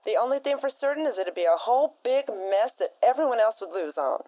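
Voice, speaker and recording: A woman's voice: a little high, lively intonation, brisk, mellow, highs cut off, natural voice. Woman as an emphatic radio guest. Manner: emphatic, agreeing. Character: opinionated female radio guest.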